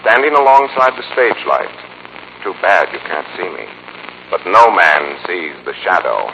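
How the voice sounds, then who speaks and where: Deep, menacing avenger of old radio. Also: male voice, very animated, unhurried, sharp tone, full character voice. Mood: taunting. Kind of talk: 1940s radio mystery acting. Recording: muffled, noisy 1940s radio recording.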